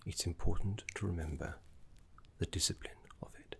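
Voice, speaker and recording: A man voicing a gentle, erudite necromancer teacher in a fantasy ASMR roleplay, speaking in a breathy half-whisper with refined, reassuring deliberation. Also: deep voice, fairly even intonation, moderate pace, heavily acted. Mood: calm, instructive.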